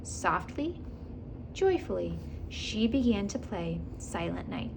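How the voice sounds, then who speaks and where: Animated woman reading a storybook, noisy recording. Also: mid-range voice, slow, vocal fry. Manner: animated, acts out dialogue and animal sounds. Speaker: children's book reader. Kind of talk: expressive read-aloud storytelling with casual asides.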